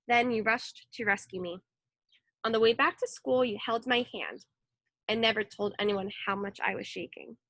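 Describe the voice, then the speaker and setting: A woman reading a children's story aloud in an expressive narrating style. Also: a little high, normal speed, no room sound. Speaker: storybook reader.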